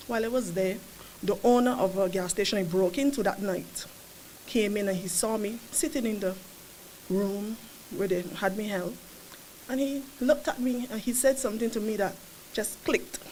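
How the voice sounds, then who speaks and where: A woman's voice: mid-range voice, a little hiss or hum, poor audio. A woman in her thirties with a Caribbean accent, hesitantly sharing her personal recovery story at a press event, speaking into a podium mic. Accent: Caribbean English. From Barbados, raised in Saint Lucia. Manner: hesitant, soft-spoken, plain storytelling. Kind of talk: personal testimony. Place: conference room at a press event. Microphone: podium mic on a stand.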